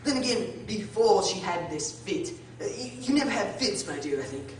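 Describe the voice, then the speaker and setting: Lady doing a blustery muddled king as judge, swoopy pitch, big echoey room, radio play. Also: lively intonation, moderate pace, close to an everyday voice. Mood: pondering, pleased. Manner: blustering, hesitant, with muttering and stammering. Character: pompous, muddled King presiding as judge over a trial. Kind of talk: stage-like radio drama acting. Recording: very echoey, distant room, noisy.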